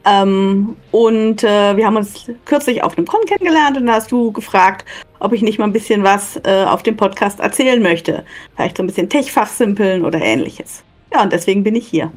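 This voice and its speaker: A woman's voice: mid-range voice, expressive, fairly quick. A middle-aged woman from Germany, a professor, answering interview questions thoughtfully with many fillers. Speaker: guest professor. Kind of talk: casual interview.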